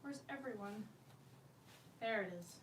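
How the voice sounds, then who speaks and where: A woman's voice: on the high side, measured pace. A woman hosting a casual livestream, rambling informally and self-deprecatingly, swearing freely as she talks. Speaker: livestream host. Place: indoors at home, window open. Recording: noisy room with open window.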